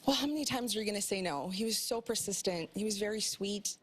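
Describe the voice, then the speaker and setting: A woman's voice: mid-range voice, quick pace, fairly bright tone, slightly rough. A woman in her thirties, a talk show guest who tells a story in a chatty way and laughs as she talks. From Polish family in the US.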